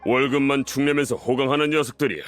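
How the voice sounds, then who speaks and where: A man's voice: medium pitch, fairly even intonation, fast talker, faint music behind, lightly acted. A man voicing a cocky desert mercenary in a video game, with a blunt, swaggering way of talking. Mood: cocky, scornful.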